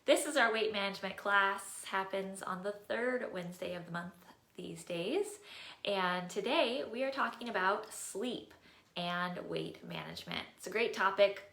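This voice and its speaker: A friendly woman dietitian leads a live class on health, her voice a little nasal because of a tissue in one nostril. She talks in an upbeat, relaxed way and lightly teases herself. The sound bounces around an echoey room. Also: mid-range voice, a little slow. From California. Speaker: registered dietitian and personal trainer teaching a class. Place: indoor room, streaming live.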